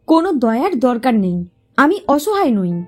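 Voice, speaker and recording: A woman's voice: high-pitched, very animated, normal speed, husky, faint music behind, heavily acted. A woman voicing a proud, wounded young office worker in an audio short story, guarded and defensive in manner. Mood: tearful, defiant.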